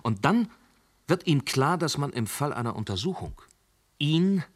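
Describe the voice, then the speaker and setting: An actor playing a calm male police inspector who questions witnesses and reasons through a case in a radio drama. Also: a little high, husky, heavily acted. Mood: reasoning. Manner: measured, reasoning.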